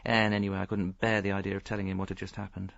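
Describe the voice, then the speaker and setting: An actor playing a rueful, self-pitying middle-aged husband, narrating his misfortunes deadpan in a radio comedy. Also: low-pitched, racing, vocal fry, no room sound. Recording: slightly dull audio, faint music.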